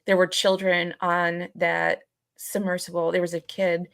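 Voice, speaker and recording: A woman co-hosting a casual podcast, talking hesitantly with halting phrases and frequent pauses. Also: fairly low pitch, little pitch movement, vocal fry, fairly bright tone, dry recording, clean studio sound. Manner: hesitant, halting, many pauses and "you know". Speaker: podcast co-host.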